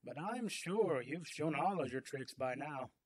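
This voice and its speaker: A man's voice: on the high side, animated, measured pace, slightly rough. A man voicing a smug, theatrical crime boss villain with a grandiose, taunting manner in an audiobook.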